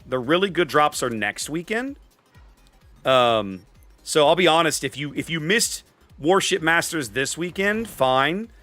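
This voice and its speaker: A man's voice: on the high side, expressive, measured pace, bright, forceful tone. A man chatting casually with viewers while commentating on his own live gameplay, thinking aloud in an opinionated, conversational way. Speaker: game live streamer. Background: faint game music.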